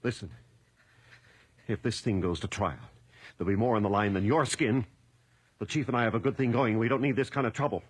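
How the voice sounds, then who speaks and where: A man's voice: lowish voice, brisk, long pauses, slightly rough, no room sound. An actor playing a harsh, bullying middle-aged father in a radio drama, menacing and contemptuous.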